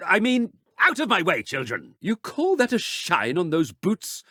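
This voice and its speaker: A pompous, blustering official in a video game, scolding everyone around him. His voice sits high and swings wildly up and down. The recording is dry and close. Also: huge pitch swings, unhurried, a bit bright, dry recording, exaggerated voice. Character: pompous bullying male headmaster type.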